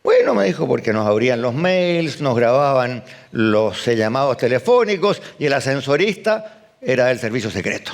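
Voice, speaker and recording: A man's voice: medium pitch, sing-song, moderate pace, gravelly. An older man giving a conference keynote as an economist, emphatic, firing rhetorical questions and answering them at once. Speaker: economist speaker. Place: event hall.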